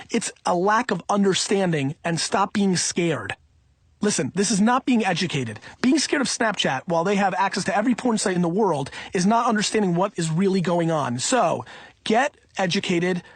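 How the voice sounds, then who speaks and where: A man reading an audiobook in the first person, in an emphatic, punchy, conversational way with a husky voice. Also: high voice, dry recording. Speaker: audiobook reader.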